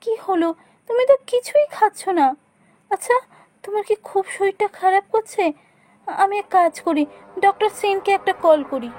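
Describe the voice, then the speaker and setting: A woman voicing a caring, solicitous wife and mother in a cartoon, expressive. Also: extremely high pitch, normal speed, long pauses, no room sound. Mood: concerned. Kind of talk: cartoon voice acting. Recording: music behind the voice.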